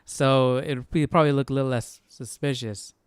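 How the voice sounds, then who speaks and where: A man's voice: medium pitch, fairly even intonation, moderate pace, slight background noise. A man hosting a casual live Bible study, musing aloud and often checking with a questioning tag. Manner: musing, tags sentences with "right?". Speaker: host.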